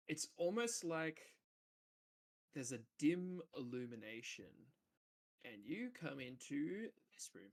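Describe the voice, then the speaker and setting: A man narrating as game master of a tabletop role-playing game, casual and jokey, with a big booming voice.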